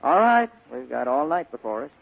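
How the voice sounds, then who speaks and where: Cynical American gumshoe, blunt and commanding, husky delivery with big swings in pitch, vintage radio drama. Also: male voice, sing-song, moderate pace. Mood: mocking, skeptical. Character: tough, cynical male private detective. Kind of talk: 1940s radio drama acting. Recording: tinny muffled 1940s radio broadcast.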